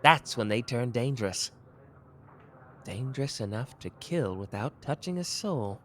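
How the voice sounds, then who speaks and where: A man voicing a sharp, playful young male detective in a listener ASMR roleplay, teasing one moment and grave the next. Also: medium pitch, lively intonation, slight background noise, lightly acted. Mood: brooding. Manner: quick, teasing, theatrical shifts between cheeky and grave.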